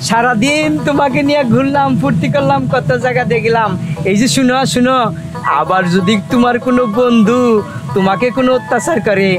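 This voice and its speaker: A very high-pitched friendly elephant. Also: male voice, steady tone, a little fast, music in the background. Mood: warm, reassuring. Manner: affectionate, reassuring. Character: kindly, protective talking elephant, loyal friend to boy. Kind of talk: children's fairy-tale drama acting.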